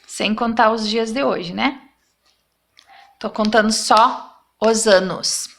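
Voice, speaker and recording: A woman in her thirties talks students through a math exercise. Her voice sits high and she takes it slowly and patiently, checking in after each step. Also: creaky, fairly bright tone, a little hiss or hum. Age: 30s. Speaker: teacher.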